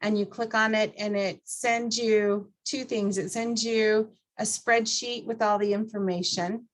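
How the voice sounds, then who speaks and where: A woman presenting an informal software walkthrough to a class, explaining things step by step in a conversational way. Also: mid-range voice, steady tone, unhurried, rough recording.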